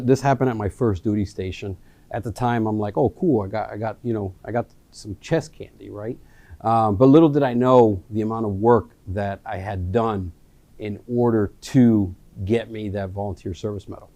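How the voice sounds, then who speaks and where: A man in his forties with a low, mellow voice speaks earnestly about himself as a local candidate. He stops and restarts often, filling pauses with ums. The sound is dry and close. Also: no room sound. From Miami, USA. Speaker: town election candidate. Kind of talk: candidate introduction, casual speech. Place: local access studio.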